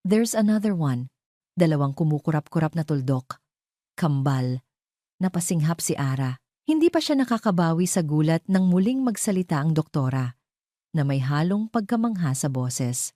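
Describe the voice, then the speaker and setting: A female narrator reads a dramatic story from a script. Her voice is deep for a woman and softly dark. The sound is close and dry, with little room on it. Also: animated, moderate pace, dry recording.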